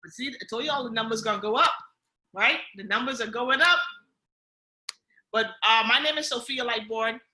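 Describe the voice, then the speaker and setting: Bahamian woman, team leader, bright forceful voice, pitched a little high, repeats key points, echoey room. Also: normal speed, pauses often. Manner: upbeat, coaching, repeats key points, frequent "okay?" and "guys". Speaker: team leader running a training call. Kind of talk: motivational training call.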